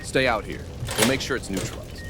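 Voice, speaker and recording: A man playing a grim, uneasy police officer in a horror audio drama, gruff and halting in his delivery. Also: fairly low pitch, very noisy, natural voice. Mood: urgent.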